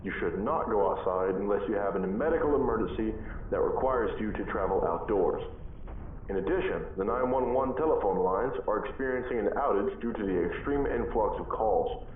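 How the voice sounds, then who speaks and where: A man playing a stern emergency broadcast announcer, reading formal, measured warnings to residents. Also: lowish voice, lively intonation, lightly acted. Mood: grave, instructive. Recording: muffled, echoey, distant, lightly noisy broadcast.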